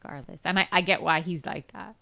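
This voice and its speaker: Woman, middle-aged, from Trinidad, slightly low voice, relaxed storytelling, casual family chat. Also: fast, vocal fry. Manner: relaxed storytelling with frequent laughs. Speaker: mother being interviewed.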